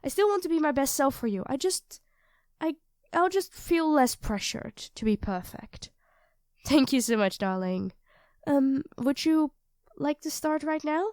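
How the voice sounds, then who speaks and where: A woman's voice: high-pitched, animated, measured pace, dry recording, clean studio sound. A woman playing a sweet, self-doubting young girlfriend in an intimate ASMR roleplay, speaking hesitantly and earnestly to her partner. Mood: touched, self-doubting. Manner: hesitant, soft-spoken, earnest, addressing a partner directly.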